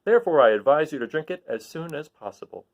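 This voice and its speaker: Man as a courteous little humbug wizard, animated. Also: on the high side, quick pace. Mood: instructive. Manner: courteous, reassuring.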